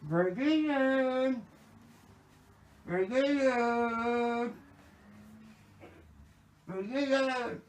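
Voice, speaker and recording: A man putting on a squeaky, drawn-out voice for a frightened little creature trapped in a bag, pleading for help. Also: full character voice. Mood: shrieking. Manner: pleading and whimpering.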